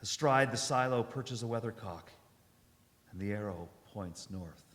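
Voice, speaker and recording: A man's voice: on the low side, a bit bright. Man acting a haunted wanderer in a reverberant hall. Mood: solemn, haunted. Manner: halting and uncertain, dramatic solemn delivery. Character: haunted, weary male wanderer narrating his survival. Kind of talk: live stage radio drama acting. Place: church hall stage, live performance. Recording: echoey hall, live performance.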